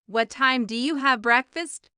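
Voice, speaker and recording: A woman with a high, bright voice reads simple questions and answers for a beginner language lesson. She goes slowly and enunciates each short sentence carefully, as a teacher would. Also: smooth, dry recording, clean studio sound.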